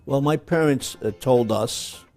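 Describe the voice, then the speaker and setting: Gravelly, dark-voiced man recalling family. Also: medium pitch, a little slow, soft background music. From Greece. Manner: earnest, personal recollection. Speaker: interviewee. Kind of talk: documentary interview.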